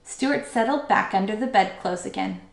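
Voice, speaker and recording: A woman's voice: mid-range voice, normal speed, vocal fry, roomy sound. A woman teacher reading a children's story aloud as narrator.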